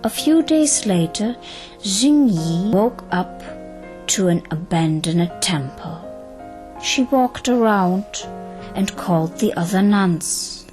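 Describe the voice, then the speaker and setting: Slow-paced woman narrating over music. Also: mid-range voice, expressive, darkish tone. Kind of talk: scripted audio drama storytelling.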